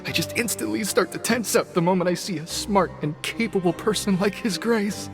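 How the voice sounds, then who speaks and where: A nervous, self-doubting young man for a video game. His voice sits high, and he stammers and hesitates timidly over clearly audible music. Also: normal speed.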